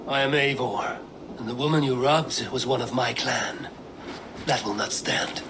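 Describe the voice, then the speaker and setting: An actor playing a steadfast Viking clan warrior, measured, blunt and commanding, in a video game cutscene. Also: male voice, mid-range voice, steady tone, background noise, lightly acted. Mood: resolute.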